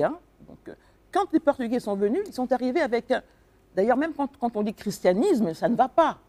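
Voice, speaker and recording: A woman's voice: mid-range voice, warm tone. A woman from the Republic of the Congo, speaking as an author and guest in a radio interview, earnest and emphatic, with frequent hesitations.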